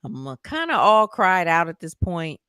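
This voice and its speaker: Bright, clear-voiced woman co-host with sassy comebacks. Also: fairly low pitch, sing-song, normal speed, dry recording, clean studio sound. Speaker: podcast co-host. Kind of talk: casual chat.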